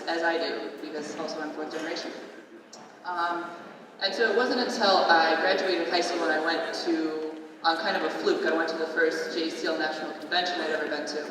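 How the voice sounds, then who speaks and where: A woman's voice: fairly low pitch, background noise. A woman in her twenties from the United States, talking casually and with self-deprecating humor as a panelist, with lots of fillers. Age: 20s. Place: large conference hall. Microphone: distant venue microphone. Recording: echoey hall from far off.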